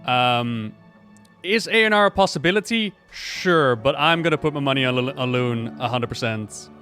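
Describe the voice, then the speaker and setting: A man giving casual, excited reaction commentary on a video game, exclaiming and thinking out loud. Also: medium pitch, moderate pace, faint music behind. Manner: expressive, exclamatory, thinking aloud.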